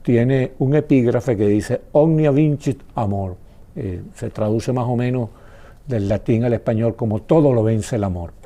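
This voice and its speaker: A man's voice: medium pitch, measured pace, warm tone. A man hosting a public-television interview, asking questions in a conversational, prompting way.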